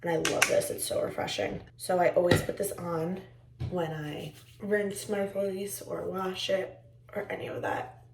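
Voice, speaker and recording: A young woman with a raspy, tired voice, chatting casually to her viewers in a home vlog. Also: lowish voice, steady tone, measured pace, roomy sound, recorded from a distance, a little hiss or hum. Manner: chatty, rambling, talks to viewers as friends. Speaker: vlogger. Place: indoors at home.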